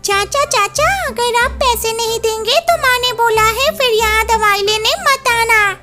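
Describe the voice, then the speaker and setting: A woman's voice: very high-pitched, unhurried, sharp tone, soft background music, pitched above the performer's own voice, heavily acted. Woman doing a whiny little boy bird. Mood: wheedling. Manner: pleading, whiny. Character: mischievous young boy bird, scheming then sorry. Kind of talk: storybook cartoon voice.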